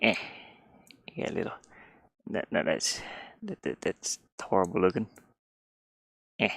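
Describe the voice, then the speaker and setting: A man chatting casually on a livestream, rambling and halting, often putting down his own efforts. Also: low voice, big pitch swings, gravelly, a bit bright, dry recording. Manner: halting, rambling, self-deprecating. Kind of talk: casual livestream chat while sketching.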